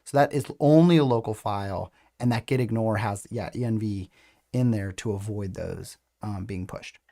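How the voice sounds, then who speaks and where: A man's voice: lowish voice, normal speed, vocal fry, warm tone, dry recording, studio quality. A man in his forties hosting a casual livestream, conversational and hesitant in phrasing, talking on a headset in a video call. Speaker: host of online tutorial show. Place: indoor room, video call.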